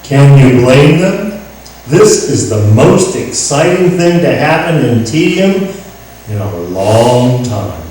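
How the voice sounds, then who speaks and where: A man's voice: medium pitch, sing-song, takes it slowly, darkish tone. A man playing a morbid, envious, greedy frontier undertaker, unctuous and gloating, in a radio comedy. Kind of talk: old-time radio comedy western acting. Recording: very echoey room, distant mic, faint noise.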